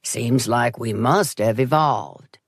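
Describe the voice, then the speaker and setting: A woman voicing a gentle, stubborn older uncle with a slow, folksy, mild delivery in an audiobook. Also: extremely low pitch, breathy edge, dry recording, studio quality, exaggerated voice. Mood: pleased, earnest. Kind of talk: audiobook character voice.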